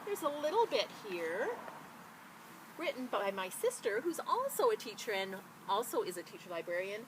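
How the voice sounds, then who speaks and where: A woman who works as a teacher librarian, talking and reading a picture book to children in an expressive, enthusiastic way. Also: medium pitch, normal speed, noisy background. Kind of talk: children's story read-aloud with casual introduction.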